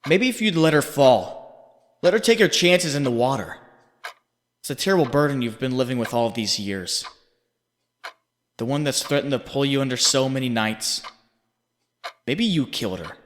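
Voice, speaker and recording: A man playing a haunting inner voice, narrating in the second person with deliberate, accusing, dramatic delivery. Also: mid-range voice, lively intonation, lightly acted. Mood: accusing, brooding. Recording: long reverb, faint background noise.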